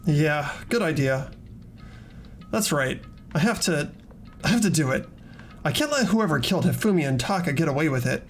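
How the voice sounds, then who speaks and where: Higher-pitched man narrating a game. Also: expressive, moderate pace, long pauses, faint music behind. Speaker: narrator and player in a voiced game playthrough. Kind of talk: dramatic game narration with casual asides.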